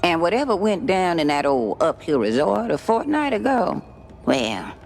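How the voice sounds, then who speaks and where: A woman's voice: fairly low pitch, big pitch swings, normal speed, creaky, darkish tone, a little hiss or hum. An actress playing a sly, ancient, folksy swamp witch in a video game, with a Cajun accent and a teasing, cryptic drawl. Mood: mysterious storytelling. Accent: Louisiana Cajun. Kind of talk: video game cutscene acting.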